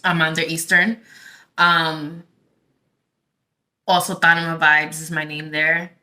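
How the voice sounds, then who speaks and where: A woman from the Dominican Republic talking casually to her viewers as a tarot channel host, in a halting, rambling way as she thinks aloud. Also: low-pitched, flat delivery, bright, forceful tone. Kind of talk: casual vlog talk to viewers.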